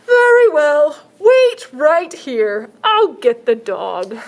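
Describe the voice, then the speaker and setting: A woman voicing an eager male animal shelter worker who pitches odd pets slowly and showily. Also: huge pitch swings, full character voice. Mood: apologetic eager. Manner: slow, pleading, showy salesman pitch.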